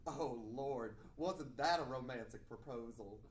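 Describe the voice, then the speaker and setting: A man acting an affectionate, candid mentor who coaxes and advises in an expressive way. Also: mid-range voice, measured pace, close to an everyday voice. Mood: concerned, affectionate. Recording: echoey room, distant mic, faint noise.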